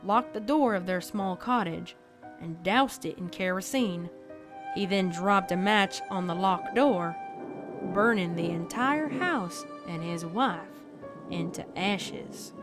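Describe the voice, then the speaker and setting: A woman's voice: on the low side, slow pace. Woman doing a country cowgirl pony telling a ghost story, folksy n dramatic, music playing behind. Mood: grave, ominous. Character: female cowgirl pony telling a spooky tale, deadpan. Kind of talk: campfire ghost story in a fan fiction audio reading. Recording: background music under the voice.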